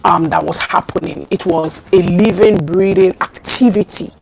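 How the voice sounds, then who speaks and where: A woman preaching a teaching sermon in a measured way, with frequent ums and repeated phrases. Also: fairly low pitch, vocal fry, a little mellow, low-quality recording. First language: not Hebrew.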